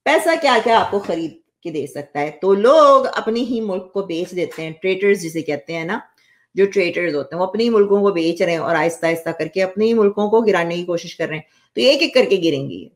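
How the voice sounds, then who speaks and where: A woman's voice: lowish voice, expressive, a little fast. A woman, giving blunt, emphatic, opinionated commentary to camera in a casual way, mixing two languages. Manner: emphatic, blunt, coarse-spoken. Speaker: vlogger. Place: small home office indoors.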